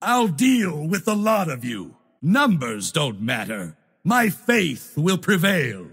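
A man playing a proud, stern zealot knight in a video game, with a raspy, gravelly voice and a pompous, solemn, imperious delivery. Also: fairly high pitch, very animated, slow, a bit bright, full character voice. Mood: menacing, righteous. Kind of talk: video game character voice lines.

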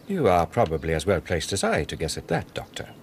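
An actor playing a stern, guarded Victorian physician in a radio drama, speaking formally and with measured dismissiveness. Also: male voice, deep voice, wildly swooping pitch, slight background noise, full character voice. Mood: guarded, dismissive. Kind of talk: British radio drama acting.

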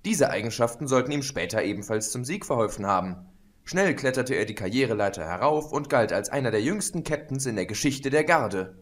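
Casting: man as steady audiobook narrator, medium pitch, even delivery. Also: a little fast. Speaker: audiobook reader. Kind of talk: scripted narration.

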